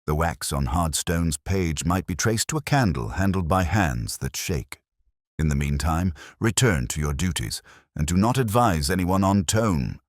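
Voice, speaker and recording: A man voicing a shrewd, measured police inspector with a deliberate, dryly ironic manner in a detective story. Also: sits low, animated, no echo. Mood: stern, dry. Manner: deliberate, dry, aphoristic.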